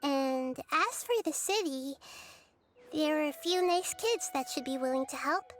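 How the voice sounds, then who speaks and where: A woman voicing a small, childlike girl deity in a video game, wise and kind, speaking in a thoughtful, gentle and measured way. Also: high voice, animated, heavy background noise. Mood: reassuring. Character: small girl deity, wise, kind and caring beyond her apparent years. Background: soft game music.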